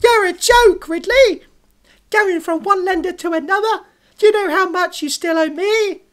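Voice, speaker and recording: A comedy podcaster voicing a brash, mocking gambling-den boss in his fifties with a squeaky, puppet-like cartoon voice. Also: moderate pace. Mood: scornful, threatening. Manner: loud, exaggerated, mocking.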